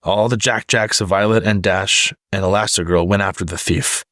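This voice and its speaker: Male storybook narrator, lowish pitch, close dry recording, reading aloud for young children. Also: fairly even intonation, moderate pace, fairly bright tone, no echo. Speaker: storybook reader.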